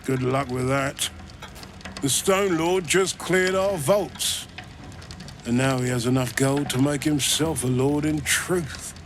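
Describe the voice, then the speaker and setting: A man acts a glib, money-loving banker in a fantasy video game. He talks slowly at a middling pitch, wry and evasive, with sarcasm under the polite patter. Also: slight background noise, lightly acted. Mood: wry, sour. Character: self-serving male banker, wry, glib and greedy.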